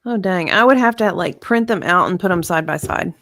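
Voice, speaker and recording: A woman's voice: lowish voice, fairly quick, fairly bright tone, no room sound, clean studio sound. A woman co-hosting in casual chat, with an informal, self-deprecating way of talking. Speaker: co-host.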